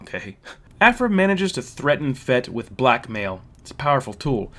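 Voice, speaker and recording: A man's voice: medium pitch, very animated, moderate pace, a bit bright. A man hosting a fan review channel, explaining a story from his notes with casual asides. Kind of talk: spoiler story recap from notes.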